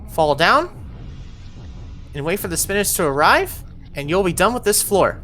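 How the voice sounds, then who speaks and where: A woman's voice: fairly low pitch, very animated, normal speed, pushed and bright, faint music behind. A woman narrating an instructional video game walkthrough, giving encouraging step-by-step directions.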